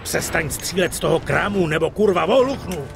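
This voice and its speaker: Creaky, wisecracking gangster. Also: male voice, fairly high pitch, lively intonation, heavy background noise. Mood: excited, taunting. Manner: sarcastic, crude. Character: brash, wisecracking, foul-mouthed gangster sidekick. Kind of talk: dubbed video game acting.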